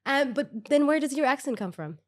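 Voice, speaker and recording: A young woman with a mild Irish accent talks in a relaxed, unhurried way as a podcast co-host. Her humor is dry and understated. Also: high-pitched. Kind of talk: casual chat.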